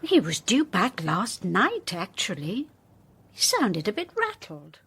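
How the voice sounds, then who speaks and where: An actress playing a brisk, courteous woman at a detective agency in a radio drama, polite and efficient. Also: medium pitch, wildly swooping pitch, a bit bright, husky, full character voice. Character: Miss Birch, brisk, courteous woman office partner.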